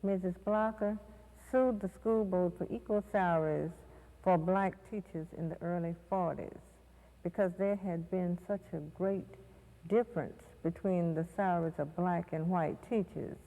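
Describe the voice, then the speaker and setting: A woman giving a measured recollection as an interviewee, recorded with long reverberation. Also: on the low side, steady tone, dark tone. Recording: long reverberant hall echo.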